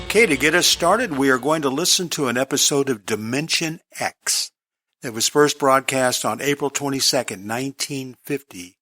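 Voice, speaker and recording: A man's voice: mid-range voice, normal speed, pushed and bright. A man speaking casually as a podcast host, introducing what is coming up in an informal way.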